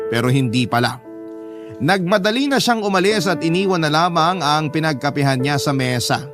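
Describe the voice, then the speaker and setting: A man reading a dramatic scripted story as a storyteller. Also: mid-range voice. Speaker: storyteller reading a listener's letter. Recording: music behind the voice. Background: background music.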